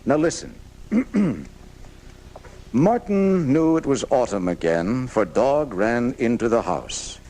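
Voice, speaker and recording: A man's voice: medium pitch, very animated, takes it slowly, dark tone. A man acting in a 1980s radio drama as a bookish, grandiloquent lover of macabre tales, taped off the radio by an amateur. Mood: eager. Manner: grandiloquent, alliterative, eager storyteller. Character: theatrical, bookish male host devoted to macabre horror tales.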